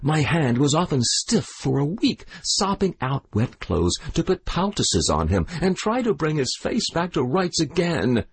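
A man's voice: fairly high pitch, big pitch swings, measured pace, few pauses, husky, voice pushed up, heavily acted. A man voicing a furious country widow who screams accusations at her son. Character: shrill furious Irish country widow, mother.

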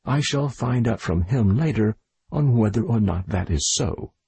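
Stern questioning doctor, measured, low, gravelly, dry, as the reader puts him on. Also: male voice, animated, warm tone, heavily acted. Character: stern middle-aged male doctor questioning.

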